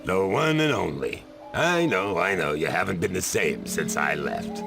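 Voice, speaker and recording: A man voicing a living ventriloquist dummy in a video game, a cocky, flirty tough guy with a gravelly voice and slangy wisecracks. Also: low-pitched, big pitch swings, a little slow, heavily acted. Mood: cocky, wry. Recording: music behind the voice.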